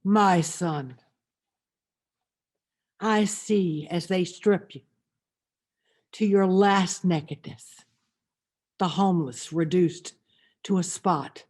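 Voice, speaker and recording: A woman reading a poetic meditation as a grieving, tender mother, solemn, measured and reverent. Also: on the low side, darkish tone. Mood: sorrowful. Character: grieving, tender mother addressing her suffering son.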